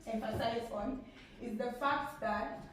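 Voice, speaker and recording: A young woman from Zambia with a Zambian accent gives an informal presentation as a student panelist. Her voice is slightly high and conversational, with small asides. It is picked up from a distance in a very reverberant room. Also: a little slow. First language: a Zambian language. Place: large echoey room at a public event. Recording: very echoey room, distant mic, faint noise.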